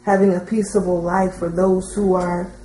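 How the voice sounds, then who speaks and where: A woman's voice: on the low side, level tone, normal speed, warm tone. A woman in the audience speaking informally and earnestly, feeling her way through a point in a tentative, searching manner. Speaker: audience member commenting. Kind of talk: informal, unscripted discussion. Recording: roomy sound with faint noise.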